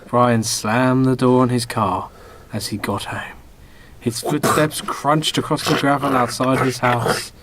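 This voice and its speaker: Man narrating comic story over clear background noise. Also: medium pitch, fairly even intonation, a little slow, fairly bright tone. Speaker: podcast host, radio play narrator. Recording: amateur podcast, clearly noisy background.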